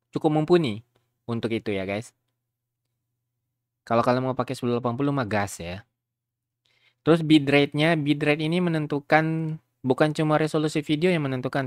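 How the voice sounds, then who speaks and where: A man's voice: mid-range voice, no echo, studio quality. A man casually walks viewers through a tutorial, chatting informally and often checking in with them. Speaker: YouTuber giving a software tutorial. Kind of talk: casual screen tutorial with streamer slang.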